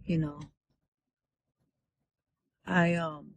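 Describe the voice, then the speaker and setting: Woman, 40s, husky, slow, candid, rueful, dry close recording. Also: low-pitched, steady tone, dry recording. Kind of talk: confessional vlog.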